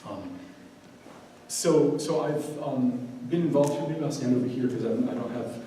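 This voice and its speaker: American man, halting off-the-cuff talk at an event, echoey room, mic far off, noisy. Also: mid-range voice, dark tone. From United States. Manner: halting, many ums and restarts. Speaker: guest speaker, scholar and curator. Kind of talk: unscripted speech at an event.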